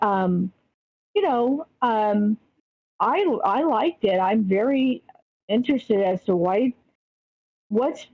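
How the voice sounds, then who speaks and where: A woman thinking aloud in casual chat, giving feedback in a halting, tentative way. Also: medium pitch, dry recording, muffled recording. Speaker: participant giving script feedback.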